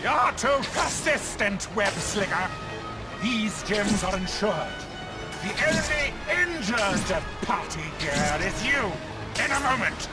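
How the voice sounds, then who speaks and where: A man's voice: high-pitched, very animated, slow pace, heavily acted. A man voicing a bitter, irritable old supervillain in a video game, theatrical and sneering. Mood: sneering, threatening. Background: loud game music.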